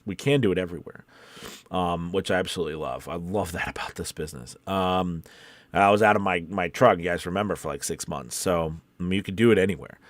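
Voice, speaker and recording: A low-pitched American man speaks as the host of a casual livestream. He talks directly to his viewers, stressing and repeating his main points. The sound is close and dry. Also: a little fast, dry recording. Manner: emphatic, repeats key points for encouragement. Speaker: host of a live stream coaching voice actors. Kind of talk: casual livestream chat reading out viewer comments.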